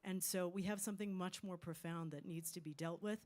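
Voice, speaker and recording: A woman's voice: fairly low pitch, steady tone, brisk, a little mellow. A woman speaking earnestly on a panel, with hesitant restarts. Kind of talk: panel discussion.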